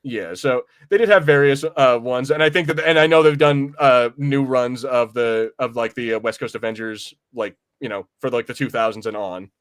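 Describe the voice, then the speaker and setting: A man with a mid-range voice talks casually as a podcast co-host. His delivery is halting and rambling, with phrases repeated while he works out the thought. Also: mid-range voice, clear, resonant tone. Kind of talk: casual film and comics discussion.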